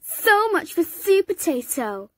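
A woman's voice: very high-pitched, very animated, slow pace, a bit bright, no echo, voice pushed up, heavily acted. Woman doing a gloating evil pea villain. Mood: taunting. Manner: gloating, shrieking cackle. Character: boastful evil little pea villain, male.

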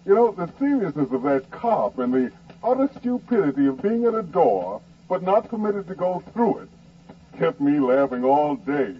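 A man's voice: on the high side, sing-song, normal speed. An American man telling of absurd ordeals with wry amusement. It is 1940s radio narration, in a conversational storytelling manner. The sound is dull and distant, with faint noise. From Kansas, United States. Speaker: narrator and essayist. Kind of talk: 1940s radio dramatized first-person narration. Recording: muffled, distant, noisy old radio broadcast.

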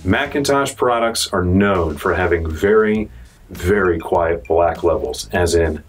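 A man's voice: low voice, measured pace, faint music behind. A young American man presenting a product review in an informal, salesy way, addressing viewers as guys. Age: young adult. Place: indoor showroom studio, dark backdrop.

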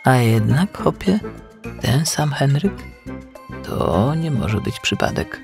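A man's voice: mid-range voice, very animated, takes it slowly, creaky, a little mellow, soft background music, heavily acted. A man voicing a cheeky male tern who loves conspiracies and talks in slangy, dramatic, suspicious bursts. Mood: amazed.

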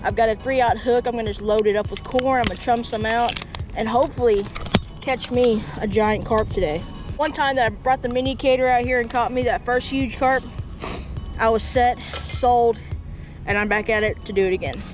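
Young woman, slightly high voice, chatty vlogger by a creek, action camera audio, music underneath. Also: moderate pace. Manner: chatty, talks straight to viewers. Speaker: fishing vlogger. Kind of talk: casual outdoor vlog talk. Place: outdoors by a creek. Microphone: action camera held at arm's length.

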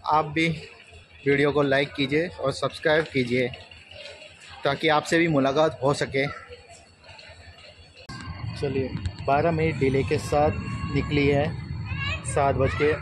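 A man's voice: fairly high pitch, flat delivery, slow, pauses often. A man narrating a casual train travel vlog in a chatty, informal way, mixing in English words. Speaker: railway travel vlogger, host. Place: on a train and at station stops. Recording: noisy ambience with train and vehicle sound. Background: train and vehicle noise.

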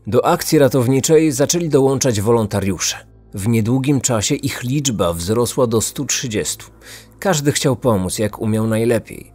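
A man's voice: medium pitch, a little mellow. A man hosting a true-crime podcast, reading a scripted narration.

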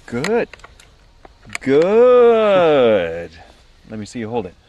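A man playing a calm, self-assured outdoorsman mentor in an audio drama, measured and instructive, given to philosophy. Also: on the high side, muffled recording, a little hiss or hum, full character voice. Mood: encouraging. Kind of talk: post-apocalyptic audio drama acting.

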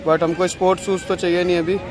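A man's voice: sits high, flat delivery, fast. A young man, chatting casually to his camera while walking through a crowded outdoor market and sprinkling his talk with "like" and "guys". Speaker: vlogger. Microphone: handheld camera. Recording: noisy crowd around.

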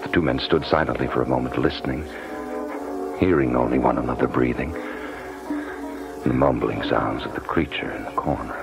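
Deep, ominous male horror narrator. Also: steady tone, vocal fry. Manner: ominous, theatrical, deliberate. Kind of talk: dramatic horror radio narration. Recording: muffled 1970s radio broadcast with loud music.

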